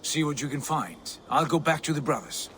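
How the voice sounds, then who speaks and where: An actor playing a composed Viking leader in a video game, with a dry, understated manner. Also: male voice, fairly high pitch, lively intonation, brisk, a bit bright, a little hiss or hum. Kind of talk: video game cutscene acting.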